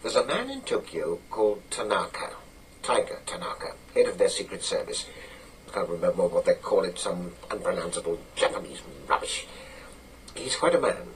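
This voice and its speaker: An actor playing an authoritative older British intelligence chief in a radio drama, gruff, clipped and matter-of-fact. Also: male voice, low-pitched, sing-song, moderate pace, distant mic, a little hiss or hum, rough recording. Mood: dry, commanding.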